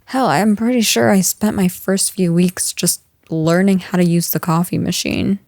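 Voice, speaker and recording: A woman playing a caring female boss in an intimate audio roleplay, speaking gently and earnestly with hesitant pauses. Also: lowish voice, fairly even intonation, dry recording, studio quality, close to an everyday voice. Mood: reassuring, earnest.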